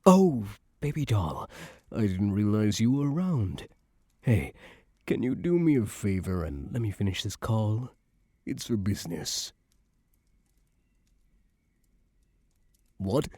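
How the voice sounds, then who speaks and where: A man playing a cocky young mafia heir who is a doting but menacing boyfriend, in an intimate ASMR roleplay with slow, smug tough-guy slang. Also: lowish voice, very animated, mellow, no echo, clean studio sound. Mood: coaxing, exasperated.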